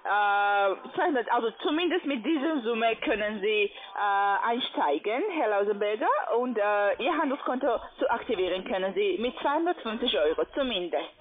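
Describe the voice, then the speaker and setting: Tinny telephone audio, female sales agent, foreign accent in German, very lively intonation, halting formal phrasing. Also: mid-range voice. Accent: foreign, non-native German. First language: not German. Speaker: call centre sales agent. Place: phone call. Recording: tinny band-limited phone line.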